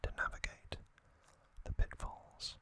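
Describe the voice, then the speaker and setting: An ASMR host whispering a gentle, measured recap of a story, softly and without voice. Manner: soft unvoiced whisper throughout.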